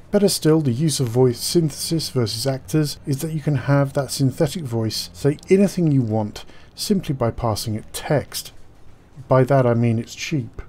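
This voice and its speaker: Mellow-voiced man narrating game news. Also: medium pitch, a little hiss or hum. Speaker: gaming commentator.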